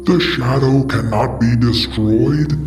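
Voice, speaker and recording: A man's voice: mid-range voice, natural voice. A man voicing a bitter, anguished fallen champion of shadow, grave and menacing, in a fantasy audio drama. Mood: roaring fury. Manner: slow, grave, dramatic declamation. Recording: dramatic music behind voice.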